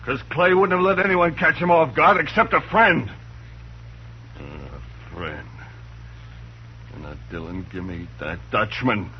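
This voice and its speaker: A man's voice: mid-range voice, measured pace, long pauses, natural voice. An actor playing a hot-headed frontier man out for revenge, confrontational and accusing, in a 1950s radio drama. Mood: threatening. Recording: muffled old radio broadcast, faint hiss.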